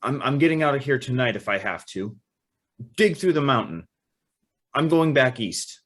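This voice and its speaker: A man's voice: medium pitch, animated, normal speed, a bit bright. A man acting a bewildered, earnest young man who tells and lives his story in a radio drama, a little hesitant. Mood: alarmed. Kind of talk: old-time radio drama acting and first-person narration.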